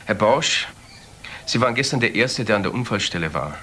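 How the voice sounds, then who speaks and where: An actor playing an inquiring police detective in a radio crime drama. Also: male voice, lowish voice, expressive, brisk, pushed and bright, slight background noise. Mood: probing.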